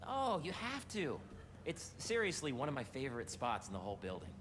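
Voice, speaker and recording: A man's voice: mid-range voice, huge pitch swings, a little fast. An actor playing a chatty, playful young man giving a friend a tour in a game cutscene. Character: young male tech heir, upbeat and playful host of a tour. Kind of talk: video game cutscene acting. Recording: reverberant hall with ambient noise.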